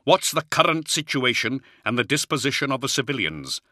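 A stern warrior lord, voiced by a male narrator, gives orders in a commanding, forceful voice. His delivery rises and falls freely, with a bright, hard edge. It plays as the authoritative leader of an audiobook battle. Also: mid-range voice, sing-song, brisk, no echo, studio quality, pitched above the performer's own voice.

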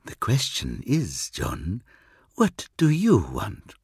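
A man putting on a cold, cryptic alien seer who speaks low and hushed, slow and deliberate. His husky voice stays calm and superior, with lilting rises and falls. A dry audiobook read. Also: no room sound, heavily acted. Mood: cool, probing. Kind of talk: audiobook reading.

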